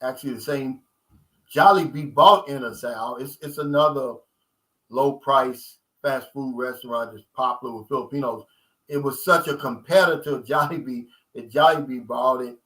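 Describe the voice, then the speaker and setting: Bright-voiced man chatting with viewers. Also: mid-range voice, moderate pace, rough recording. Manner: loose, streetwise talk, chatting with viewers. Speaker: vlogger reading live chat. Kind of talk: casual live-stream chat.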